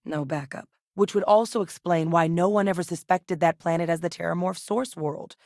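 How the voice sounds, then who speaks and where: An actress as a dedicated woman scientist from a science fiction video game. Her voice sits low and is recorded dry and close. She speaks with measured, articulate care and a grave, earnest temper. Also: steady tone, a little mellow, no room sound, close to an everyday voice. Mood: explanatory, sober. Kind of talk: video game character dialogue.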